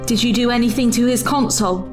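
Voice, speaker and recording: A woman's voice: fairly high pitch, fast, music in the background, lightly acted. A woman acting a principled, disillusioned female travelling companion in an audio drama. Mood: indignant, reproachful.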